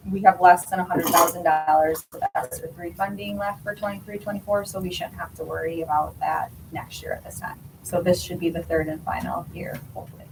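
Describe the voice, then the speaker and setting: Woman giving a funding update, lowish voice, far from the mic, dishes clinking behind. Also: fairly even intonation, brisk, background noise. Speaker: staff member reporting on finances. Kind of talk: matter-of-fact financial report. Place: meeting room.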